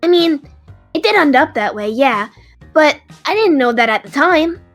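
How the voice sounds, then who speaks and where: A woman plays a young dragon for an audio drama, high-pitched and very animated. The creature is whiny and easily flustered, sulking like a kid over a card game. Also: normal speed, faint music behind. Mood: sheepish. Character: childish card-playing dragon, hungry, sulky, easily flustered.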